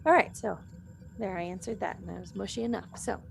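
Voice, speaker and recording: A woman's voice: medium pitch, quick pace, creaky, faint music behind. A woman chatting casually as she moderates a group podcast, with an informal, slightly hesitant, giggly way of talking. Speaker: podcast cast member moderating fan questions.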